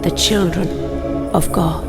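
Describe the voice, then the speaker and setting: An actress playing a gentle, solemn mother from memory, reciting scripture in a radio drama. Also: fairly low pitch, steady tone, slow pace, loud music behind the voice. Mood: solemn, tender.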